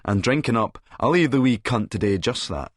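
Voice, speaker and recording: A man's voice: mid-range voice, lively intonation, normal speed, breathy edge, dry recording, studio quality. A man with a Scottish accent narrates an audiobook dramatically in the first person, in a sardonic, sneering manner.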